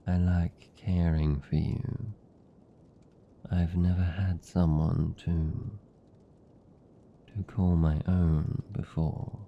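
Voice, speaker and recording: A man voicing a possessive, doting vampire captor in an intimate ASMR roleplay, with a British accent and a slow, murmured, coaxing delivery. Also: level tone, full character voice. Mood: doting, soothing. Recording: muffled, rumbly, faint background noise.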